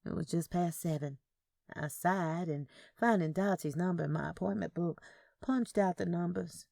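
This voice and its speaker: A woman's voice: on the low side, moderate pace, creaky, warm tone, no room sound, studio quality. A woman narrating a first-person audio story in a dramatic reading style.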